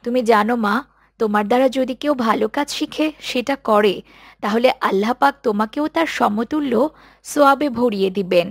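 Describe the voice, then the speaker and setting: A woman's voice: on the high side, brisk, no echo, clean studio sound. A woman voicing a caring, devout mother in a cartoon, speaking affectionately and expressively to her young daughter. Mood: proud, blessing. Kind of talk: scripted cartoon dialogue.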